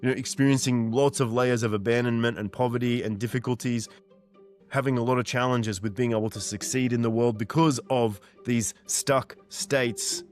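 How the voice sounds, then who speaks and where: A man in his forties talking earnestly and directly to the listener as a mindset coach, pressing points through repetition. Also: mid-range voice. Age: 40s. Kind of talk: motivational monologue.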